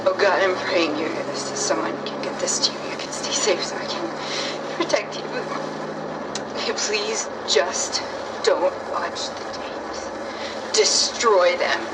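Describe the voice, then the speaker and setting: An actress playing a desperate, grief-stricken woman in a horror game, pleading haltingly and breaking off mid-sentence. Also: sing-song, exaggerated voice. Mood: pleading, remorseful. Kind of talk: dramatic horror game voice acting. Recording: distant, very noisy tape with rumble.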